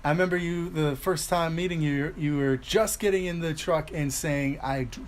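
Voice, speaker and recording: Upbeat, slightly high-voiced male host. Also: normal speed. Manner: upbeat, teasing, reads out show announcements. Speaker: podcast host. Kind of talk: casual chat.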